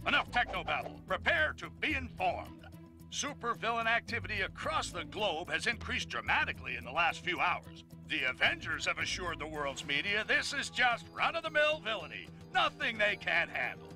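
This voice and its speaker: A cranky newspaper boss barking a comic news rant for a video game. The voice is high, animated and extremely sharp, brash and full of self-importance. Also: male voice, moderate pace, soft background music, heavily acted, low-quality recording. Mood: brash, self-important. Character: blustering, cranky newspaper boss turned webcast host.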